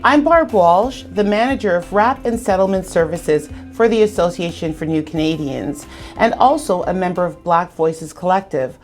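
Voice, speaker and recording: A woman hosting a virtual community event, reading a measured scripted introduction. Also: on the low side, soft background music. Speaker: event host.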